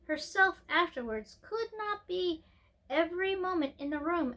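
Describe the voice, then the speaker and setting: A woman reading a children's story aloud as an expressive storyteller, occasionally stumbling over a word. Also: sits high, low-quality recording. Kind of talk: scripted narration, storybook read-aloud.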